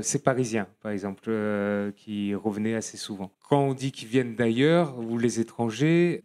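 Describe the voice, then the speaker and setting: A man interviewing a guest, asking long questions with halting phrasing and fillers as he searches for his words. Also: medium pitch, a little mellow. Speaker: interviewer, journalist.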